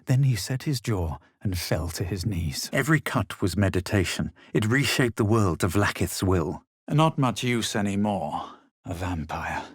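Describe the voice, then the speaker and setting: An actor playing a haughty, self-assured young adventurer in a fantasy video game, speaking in a measured, literary manner. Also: male voice, lowish voice, lively intonation, slightly rough, no echo, studio quality. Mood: cool, brooding. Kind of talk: video game character narration and barks.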